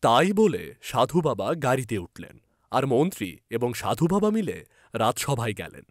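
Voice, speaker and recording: A man's voice: medium pitch, big pitch swings, moderate pace, no room sound, studio quality. A man narrating a scripted fairy tale as a storyteller.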